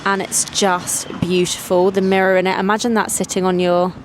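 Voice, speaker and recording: A woman's voice: medium pitch, level tone, normal speed, slight background noise. Chatty young woman vlogging. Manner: chatty, upbeat, lots of "like" and "so". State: voice going, getting sick. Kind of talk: casual vlog talk to camera.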